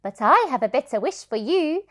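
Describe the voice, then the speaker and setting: A woman's voice: high voice, very animated, normal speed, a bit bright, pitched above the performer's own voice. A woman voicing a magical female unicorn from a storybook, confident and kindly, proclaiming her wish-granting words. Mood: assured, magical.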